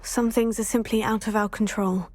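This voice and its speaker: A woman's voice: mid-range voice, steady tone, moderate pace, darkish tone, slight background noise, close to an everyday voice. An actress playing a polite, earnest, curious schoolgirl witch in a video game. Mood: curious, sympathetic. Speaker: student player character. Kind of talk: scripted game dialogue.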